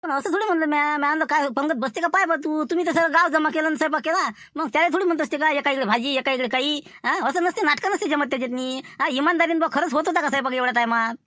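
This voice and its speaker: A man's voice: extremely high pitch, very fast, hardly any pauses, pushed and bright, no room sound, studio quality. A man voicing an indignant village elder in a comic cartoon, complaining repetitively in a colloquial Varhadi Marathi accent. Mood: protesting. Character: indignant village elder protesting unfair dealings.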